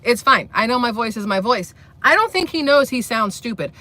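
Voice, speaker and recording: A woman's voice: fairly high pitch, lively intonation, brisk, sharp tone. A woman hosting a rambling political commentary vlog, blunt, profane and sarcastic, with a voice she says sounds boyish. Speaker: commentary channel host.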